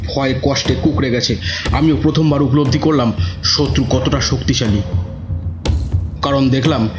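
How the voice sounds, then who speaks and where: A man's voice: medium pitch, fairly even intonation, moderate pace. A man narrating a scripted horror story in the first person, with dramatic suspense. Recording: roomy, muffled audio with music.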